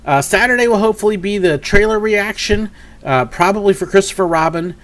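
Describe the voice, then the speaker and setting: Man in his 40s, upbeat live-stream host, lively up-and-down delivery, fairly high voice, slight sniffle. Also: a little fast. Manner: chatty, upbeat, rambling with many fillers. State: sniffly from a cold. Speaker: YouTube host. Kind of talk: live Q&A and mail call. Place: home office with shelves of collectibles.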